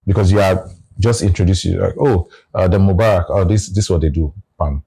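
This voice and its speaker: A Nigerian man talking through his views in a relaxed conversation, often restarting his phrases. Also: low voice, a little fast, vocal fry, slightly rough. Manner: reflective, restarts phrases, explains with examples. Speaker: guest. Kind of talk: casual conversation.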